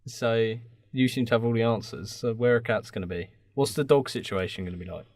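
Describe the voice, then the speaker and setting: A man's voice: medium pitch, brisk, a little mellow, no echo, studio quality. A man co-hosting a podcast, trading casual jokes with teasing, flippant interjections. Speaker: podcast co-host. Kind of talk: casual joking banter.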